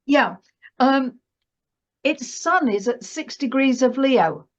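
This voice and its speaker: A woman's voice: sits high, lively intonation, takes it slowly, dull audio. A woman speaking as a guest market analyst in a casual, chatty conversation, often checking for agreement with little tag questions.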